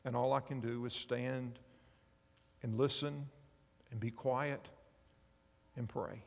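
Man, fifties, pastor, sermon, gravelly voice, earnest storytelling, long hall reverb. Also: mid-range voice, fairly even intonation, slow pace. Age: 50s. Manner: earnest storytelling, asks the congregation along. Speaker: pastor and chaplain.

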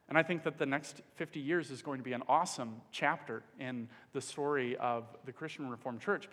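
Earnest male preacher, from the Dominican Republic, a bit high-pitched, echoing hall. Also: a bit bright. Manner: earnest, pauses with fillers. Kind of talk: sermon. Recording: long hall reverb.

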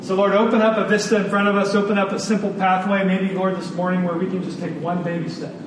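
A high-pitched man delivers an informal, earnest sermon. He repeats phrases for emphasis in a conversational way. The sound is heavily reverberant and picked up from a distance, with clear noise. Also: little pitch movement, fast. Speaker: preacher. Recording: very echoey room, distant mic, clear noise.

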